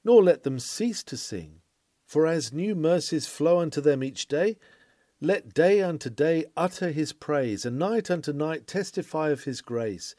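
Earnest, mellow man reading a sermon. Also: a little high, expressive, measured pace, no echo, clean studio sound. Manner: earnest, declamatory, formal. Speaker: sermon reader. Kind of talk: read-aloud classic sermon, oratorical.

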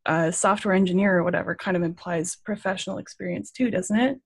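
A woman's voice: fairly low pitch, fast talker, husky, dry recording, studio quality. A woman student raising skeptical questions on a video call. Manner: questioning, pushes back.

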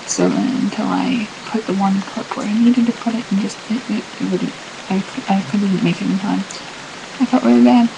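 A woman's voice: mid-range voice, very animated, normal speed, gravelly. A teenage girl vlogging from her bedroom into a laptop webcam, rambling and apologizing, full of ums and restarts. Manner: apologetic, rambling, many fillers. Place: small bedroom indoors. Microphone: laptop webcam mic. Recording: noisy webcam recording with hiss.

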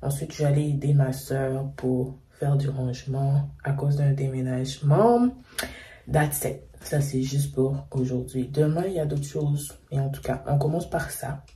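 A woman's voice: extremely low pitch, unhurried, dark tone, breathy edge. A young woman vlogging to her phone camera, chatting in a rambling way and sometimes dropping to a whisper. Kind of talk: casual vlog chat to camera. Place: bathroom, car and grocery store. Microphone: phone camera, held or on dashboard. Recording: phone audio with car and store noise.

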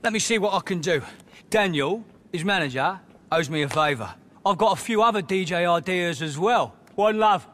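An actor playing a cocky, laid-back club promoter in a video game, with an English accent and slangy patter. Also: male voice, high voice, animated, moderate pace, fairly bright tone. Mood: confident, scheming. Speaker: DJ booker. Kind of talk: video game cutscene acting.

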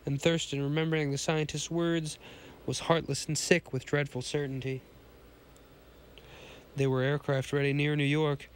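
A hoarse man with a cold, hosting a podcast and reading a pulp science fiction story aloud, often stumbling and restarting lines. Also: a little high, flat delivery, normal speed, pauses often, slight background noise.

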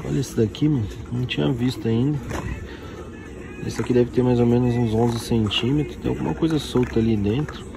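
A man's voice: mid-range voice, steady tone, brisk, creaky, music in the background. A young man casually showing off toys while walking through an outdoor flea market, filming on a handheld phone and pointing things out constantly. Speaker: toy collector vlogger. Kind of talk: casual walk-through commentary. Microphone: handheld phone camera.

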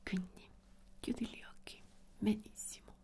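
A young woman whispers right up close to the microphone. She speaks very slowly and softly, reassuring the listener as an examiner in an ASMR medical roleplay. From Italy. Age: young adult. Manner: soft, unhurried, reassuring. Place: small dark quiet room, indoors.

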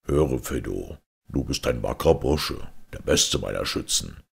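A stern fairy-tale king as a male reader plays him. He speaks very low and gravelly, with an overbearing, menacing air of command. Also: expressive, a little slow, heavily acted.

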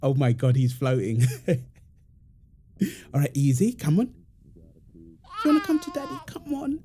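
A man playing a doting, playful young husband and proud father in a wholesome audio roleplay, soft and affectionately teasing. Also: mid-range voice, wildly swooping pitch, normal speed, husky. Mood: excited, tender.

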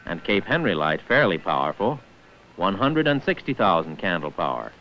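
Male narrator, 1940s instructional film: deep-ish, precise, formal, varied pitch, dated lo-fi sound. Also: big pitch swings, normal speed, slight background noise. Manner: formal, precise enunciation of figures.

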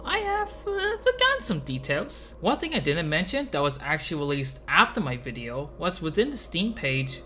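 A video essay host narrating from a script in a casual, joking manner. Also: medium pitch. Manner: casual, joking, with playful exclamations. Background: music behind the voice.

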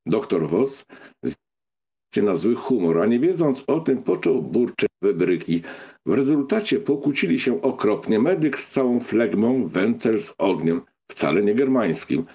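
A man reading literary fiction as an audiobook narrator, in an expressive storytelling style. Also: fairly low pitch, measured pace, breathy edge.

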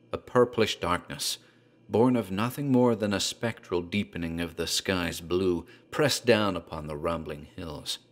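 A man narrating a horror story in a grave, measured storytelling manner. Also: deep voice, a bit bright.

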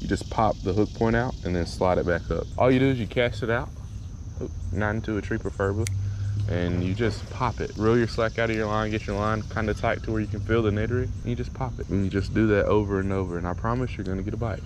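A man's voice: fairly low pitch, fairly even intonation, noisy background. A young man talking casually to camera for his fishing vlog, joking and hyped up, outdoors by a pond and then out on a kayak. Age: young adult. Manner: fast, joking, hyped up and chatty. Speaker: fishing vlogger. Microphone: action camera, chest and handheld. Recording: music under the talk.

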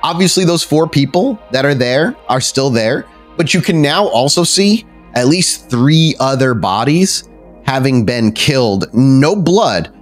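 Male game master, lively intonation, casual descriptive storytelling, music underneath. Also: medium pitch, unhurried. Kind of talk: improvised tabletop roleplay narration.

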